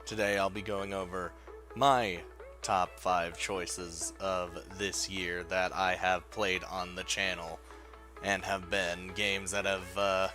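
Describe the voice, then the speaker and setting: A man's voice: sits low, flat delivery, slow pace, bright, forceful tone, soft background music. A man giving casual, informal video commentary as a game reviewer, talking in an enthusiastic, rambling way. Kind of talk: casual top-five list commentary.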